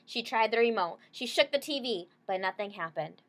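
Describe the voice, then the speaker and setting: A woman's voice: on the high side, expressive, normal speed, a bit bright. A woman reading a children's story aloud, stopping to explain things simply and check in with short questions. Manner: checks in with listeners using short tag questions.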